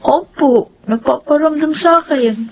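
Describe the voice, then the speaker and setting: A very high, girlish voice plays a naive, earnest young Filipino woman. She is meek and respectful, pleading in a slightly muffled radio drama. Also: a little slow, a little hiss or hum. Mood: meek, guarded. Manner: soft-hearted, pleading, respectful. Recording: dull lo-fi radio sound.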